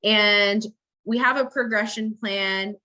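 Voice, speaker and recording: A woman's voice: medium pitch, fairly even intonation, slow pace, bright, forceful tone, rough recording. A woman speaking as a fitness coach in a casual interview, explaining things step by step with frequent check-ins. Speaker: fitness coach and business owner, interview guest.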